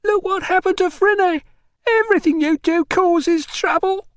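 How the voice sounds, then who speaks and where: A man's voice: very high voice, moderate pace, no room sound, voice pushed up. A male narrator voicing a distraught, tearful young enslaved mother, wailing and bitter in an audiobook story. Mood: hysterical rage. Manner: wailing, shrieking, accusatory. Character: distraught young enslaved mother, shrill, bitter, weeping over her son.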